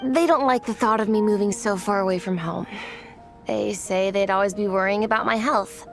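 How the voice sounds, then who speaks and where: A woman's voice: mid-range voice, moderate pace, gravelly, music in the background, natural voice. A woman voicing an earnest, determined but self-doubting young artist in a video game, speaking in a hesitant, confiding way. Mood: earnest, frustrated. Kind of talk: scripted video game voice acting.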